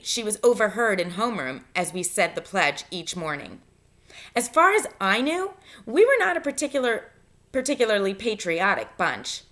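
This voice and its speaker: A woman teacher reading a novel aloud to students in an expressive, instructive way, pausing to give guidance. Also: mid-range voice. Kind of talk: read-aloud lesson, narration with spoken instructions.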